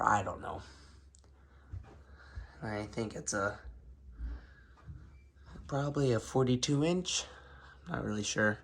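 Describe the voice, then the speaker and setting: A man's voice: mid-range voice, fairly bright tone. Hesitant man who has been sick. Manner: hesitant, unsure, lots of ums. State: sick, voice sounds off. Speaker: ceiling fan video maker. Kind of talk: casual filmed show-and-tell. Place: home room indoors.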